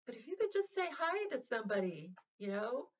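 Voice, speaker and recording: A woman hosting a vlog, talking to her viewers in a casual, heartfelt way, gentle and reassuring, with lots of fillers and restarts. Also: a little high, big pitch swings, brisk, husky, highs cut off. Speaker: vlog host.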